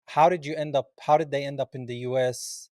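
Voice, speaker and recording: A man's voice: mid-range voice, steady tone, a little fast, darkish tone, no echo, studio quality. A man from Palestine hosting a casual interview, laid-back and calling his guest man and bro. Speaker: host.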